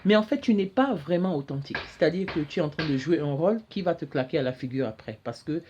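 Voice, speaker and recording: Halting woman hosting a show. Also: low-pitched, animated, darkish tone, muffled recording, slight background noise. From Cameroon. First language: English. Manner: halting, repeats words while searching for them. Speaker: talk show host. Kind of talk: solo monologue to camera.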